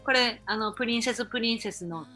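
A woman's voice: a little high, quick pace, sharp tone, soft background music. A woman co-hosting a radio-style podcast, chatting casually and reading out show announcements.

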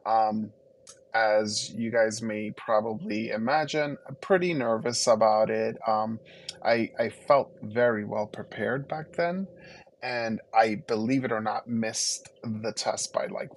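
A man's voice: fairly low pitch, a little hiss or hum, rough recording. A man from the Dominican Republic whose first language is Spanish, telling his story reflectively as a podcast guest, with frequent fillers and restarts. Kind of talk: conversational interview storytelling.

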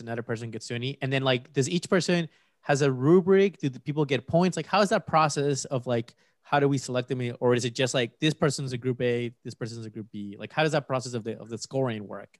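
A man from Peru hosts the podcast and asks long, winding questions packed with fillers and restarts. His voice sits at a middle pitch, recorded dry and close. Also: dry recording. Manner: hedging, many "like" fillers and restarts. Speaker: podcast host. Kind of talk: interview questions.

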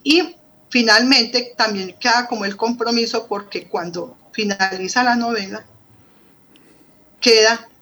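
A woman with a Colombian accent and a bright, forward voice answers in an interview. She talks conversationally, often rephrasing, with faint noise behind her. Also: a little high, unhurried, low-quality recording. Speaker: guest, professor. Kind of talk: interview answer, recounting experience.

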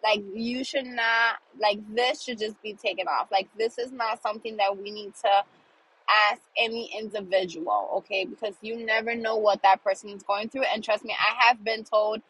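A woman's voice: medium pitch, steady tone, moderate pace, fairly bright tone, breathy edge, rough recording. A woman in her thirties hosting a casual monologue podcast, earnest and emphatic, repeating phrases for emphasis, sounding a little congested. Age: 30s. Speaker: podcast host.

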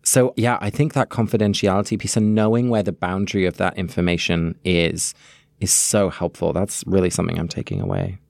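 A man's voice: low voice, a little fast, vocal fry, a little mellow, dry recording, studio quality. A man co-hosting a podcast, talking in a reflective, casual way. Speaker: podcast co-host. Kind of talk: casual chat.

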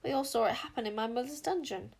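A woman's voice: fairly high pitch, expressive, quick pace, vocal fry, darkish tone, slight background noise, pitched above the performer's own voice. A woman voicing a young girl heroine in a storybook, speaking tentatively and in alarm. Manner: tentative, horrified.

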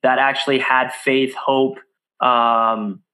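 A middle-aged man from Brazil talks as a podcast guest, earnest and unhurried. His voice is bright and forward, with plenty of edge. Pitch sits at an ordinary middle height for a man. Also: little pitch movement, rough recording. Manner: earnest, deliberate, acts out quotes in a raised voice. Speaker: guest, music blog founder. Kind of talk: casual podcast conversation.

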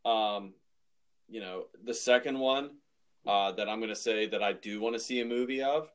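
Male podcast co-host, on the lower side, informal conversational delivery. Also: moderate pace, a bit bright, clear, resonant tone. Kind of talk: casual podcast discussion.

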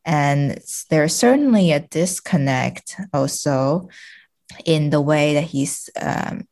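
A woman's voice: low voice, darkish tone. A woman speaking as a podcast guest in a casual literary discussion, feeling hesitantly for her words.

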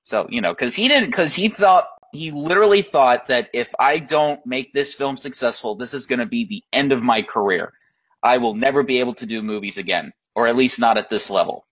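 Male co-host, mid-pitch, loose rambling delivery, casual film talk. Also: animated, fairly quick, fairly bright tone, husky, poor audio. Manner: rambling, many fillers and restarts. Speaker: podcast co-host. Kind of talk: casual chat about films.

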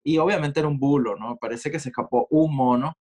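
A man's voice: fairly high pitch, a little fast, darkish tone. A Venezuelan man answering interview questions in a reflective, digressive way, full of fillers and asides. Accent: Venezuelan Spanish. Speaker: interview guest, writer. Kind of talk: conversational interview.

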